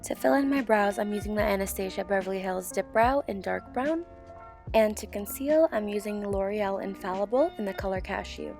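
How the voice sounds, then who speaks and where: A young woman, hoarse and sick with a sore throat, calmly talking through a beauty tutorial as a voiceover. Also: medium pitch, fairly quick, faint music behind. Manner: calm step-by-step instruction. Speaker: beauty channel host. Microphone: voiceover mic.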